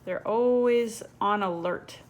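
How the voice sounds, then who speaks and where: Female storyteller, mid-pitched, conversational children's read-aloud with chatty asides; homemade recording with some background noise. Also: slow pace. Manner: conversational, asks the listener direct questions. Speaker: storyteller reading a picture book to children.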